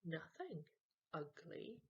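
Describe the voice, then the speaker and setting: A woman's voice: low-pitched, big pitch swings, slow pace, darkish tone, breathy edge, highs cut off, heavily acted. A woman voicing a defiant little boy who is trying to be horrid, taunting and sulky, in a children's storybook.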